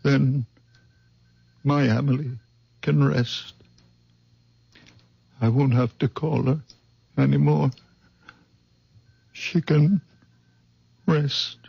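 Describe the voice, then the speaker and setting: An actor playing a very old man with healing hands, speaking softly and slowly in a 1970s radio drama. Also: mid-range voice, steady tone, vocal fry, husky, dry recording, heavily acted. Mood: prophetic. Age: older. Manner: soft, slow, otherworldly. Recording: dull vintage radio broadcast.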